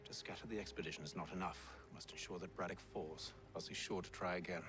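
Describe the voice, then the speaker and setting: Game role: man playing calculating English assassin, low voice, dry contempt, music underneath. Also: fairly even intonation, quick pace, lightly acted. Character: cold, calculating, sardonic gentleman assassin. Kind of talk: dramatic video game acting. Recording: loud music behind the voice.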